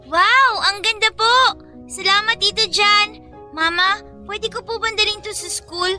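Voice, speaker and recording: A woman's voice: extremely high pitch, measured pace, pushed and bright, faint music behind, exaggerated voice. A woman playing an innocent, polite young Filipino schoolgirl with a childlike voice in an audio drama. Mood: eager, polite. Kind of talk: acted audio drama dialogue.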